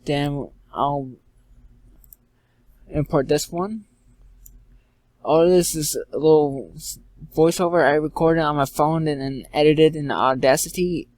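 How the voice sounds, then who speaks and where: Higher-pitched man narrating a software tutorial. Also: fairly even intonation. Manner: informal, halting, repeats and corrects himself. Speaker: tutorial presenter. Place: indoors, screen-recording voice-over.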